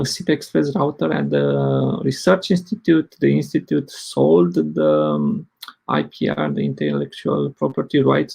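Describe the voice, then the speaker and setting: Brief: man in his forties from Romania, husky and halting, presenting at a tech conference. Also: mid-range voice, darkish tone. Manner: hesitant, many fillers. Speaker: open source project lead presenting. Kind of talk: conference talk.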